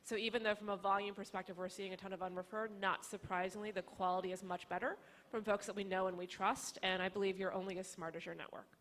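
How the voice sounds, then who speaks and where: A woman's voice: medium pitch, little pitch movement, quick pace, fairly bright tone, a little hiss or hum. A woman speaking on a business panel, articulate and fluent with light fillers. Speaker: panelist, business school lecturer and angel network founder. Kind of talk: panel discussion.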